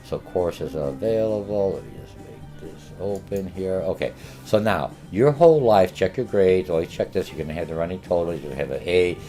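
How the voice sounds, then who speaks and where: A man's voice: low-pitched, expressive, brisk, mellow, faint music behind. An older man whose first language is Polish, a college marketing instructor giving an online course orientation in a rambling way with many filler sounds. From born in Germany, Polish parents.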